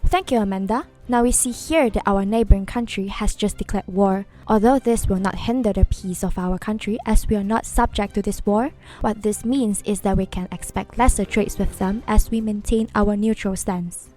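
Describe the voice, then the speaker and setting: Woman acting a formal news correspondent, medium pitch. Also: dark tone, soft background music, lightly acted. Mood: composed, explanatory. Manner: formal, measured. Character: composed female news correspondent reporting on a war. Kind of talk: news report within an audio drama.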